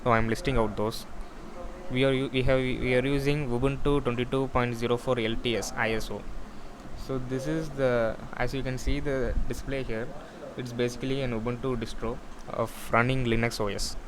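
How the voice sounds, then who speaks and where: A man with a medium-pitched voice presents a technical project in an unscripted walkthrough. He explains haltingly, often restarting and repeating phrases. A faint layer of background noise sits behind his voice. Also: steady tone. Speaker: student or developer presenting a project.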